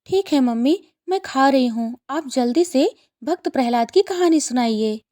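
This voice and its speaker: Woman acting a little girl bird, high-pitched, childlike, pleading, eager, children's cartoon. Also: lively intonation, moderate pace, mellow. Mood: curious, eager.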